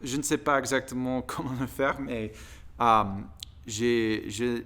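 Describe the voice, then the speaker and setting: American guy vlogging, native english speaker, slow n halting, keeps hunting for words, smooth clean voice. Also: mid-range voice, steady tone, a bit bright. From United States. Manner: halting, searching for words in a second language. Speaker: video blogger practising French. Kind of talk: casual monologue to viewers.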